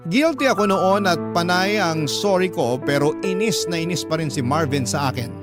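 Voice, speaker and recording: Actor as remorseful husband narrating over music. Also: male voice, fairly high pitch, lightly acted. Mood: regretful. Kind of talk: first-person radio drama narration. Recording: music bed under the voice.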